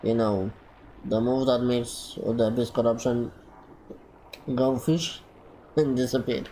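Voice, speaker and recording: Slow, halting man reading game dialogue. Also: mid-range voice, little pitch movement, dark tone, a little hiss or hum, poor audio. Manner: halting, repeats phrases as he reads. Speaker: gaming streamer. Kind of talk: reading game dialogue aloud during a playthrough. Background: game music.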